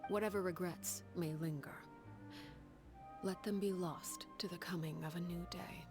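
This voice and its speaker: A woman voicing a stern, commanding head of a house, speaking in a calm, measured and formal way. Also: on the low side, music in the background, lightly acted. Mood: solemn. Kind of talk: video game voice acting.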